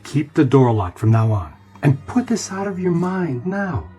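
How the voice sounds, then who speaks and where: An actor playing a brooding, evasive husband who talks tersely and quietly in a radio play. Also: male voice, on the high side, big pitch swings, unhurried, music in the background. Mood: guarded, dismissive. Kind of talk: dramatic radio play acting.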